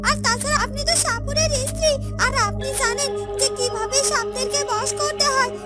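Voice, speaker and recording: A woman's voice: extremely high pitch, brisk, over music. A woman playing a frightened, pleading young woman in a fairy-tale cartoon, repeating her words anxiously. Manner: breathless repetition of words, pleading.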